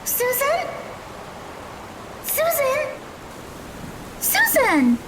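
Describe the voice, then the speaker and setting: A woman playing a sweet, excitable teenage girl in an audio drama, eager and polite. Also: very high voice, drawn-out words, background noise, heavily acted. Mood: alarmed.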